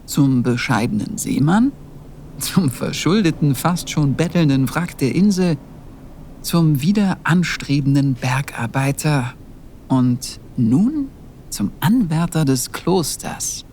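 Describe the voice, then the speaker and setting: A man plays a humble, devout young male novice in a fantasy audio drama. His slightly high voice is slow and solemn, over faint noise. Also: animated, a little mellow. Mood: reflective, earnest. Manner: solemn, measured. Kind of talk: fantasy audio play monologue and prayer.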